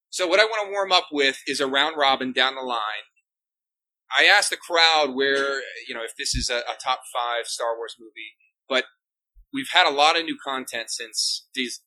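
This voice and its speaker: A man's voice: mid-range voice, bright, forceful tone, poor audio. A man moderating a fan convention panel, joking and often laughing as he talks.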